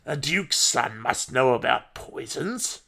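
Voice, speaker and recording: Man voicing a menacing, imperious old woman, slow-spoken. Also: medium pitch, animated, gravelly, sharp tone, exaggerated voice. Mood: mocking, threatening. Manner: commanding, taunting, deliberate.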